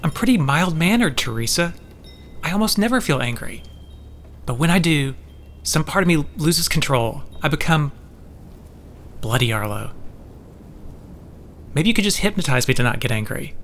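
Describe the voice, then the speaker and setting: A man's voice: fairly high pitch, very animated, moderate pace, long pauses, a bit bright, slight background noise. An actor playing a mild-mannered, troubled man in an audio drama, hesitant and introspective in a conversational way. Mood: troubled, earnest. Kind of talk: scripted audio drama acting.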